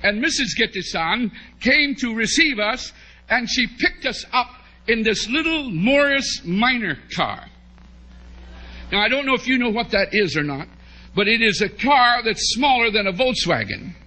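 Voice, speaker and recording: High, forceful American preacher man. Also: slight background noise, poor audio. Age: middle-aged. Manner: animated, humorous anecdotal telling with drawn-out emphasis. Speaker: preacher, bishop. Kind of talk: storytelling sermon to a congregation. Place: large church hall, at a pulpit before a congregation. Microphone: podium microphone on a stand.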